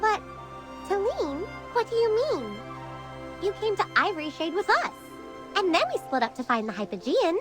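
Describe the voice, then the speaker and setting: A woman's voice: extremely high pitch, sing-song, moderate pace, over music. A woman playing a young, curious and concerned fantasy adventurer in a game story, earnest and questioning. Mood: bewildered. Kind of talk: scripted game voice acting.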